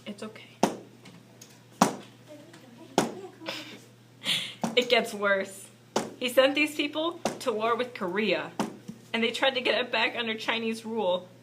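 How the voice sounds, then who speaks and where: A woman's voice: a little high, measured pace, natural voice. A woman playing a patient puppet history teacher, delivering a scripted lesson to an unruly class in an amateur show. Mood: soothing, narrating. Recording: faint background noise, amateur recording.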